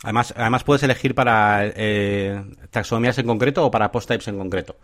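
A man's voice: on the low side, brisk, a bit bright. A man co-hosting a tech podcast. He runs through a list of points with frequent fillers and check-ins. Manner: enthusiastic, listy, frequent "eh" and "¿vale?". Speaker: podcast co-host. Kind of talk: casual tech podcast chat.

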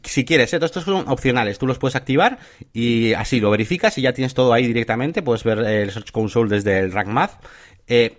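A man co-hosting a tech podcast. He runs through a list of points with frequent fillers and check-ins. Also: medium pitch. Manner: enthusiastic, listy, frequent "eh" and "¿vale?". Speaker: podcast co-host. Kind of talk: casual tech podcast chat.

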